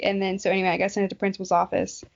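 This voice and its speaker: A woman co-hosting a podcast, chatting casually and telling anecdotes in an animated, joking, slangy way. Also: mid-range voice, racing, vocal fry, lo-fi sound. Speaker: podcast co-host. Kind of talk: casual chat.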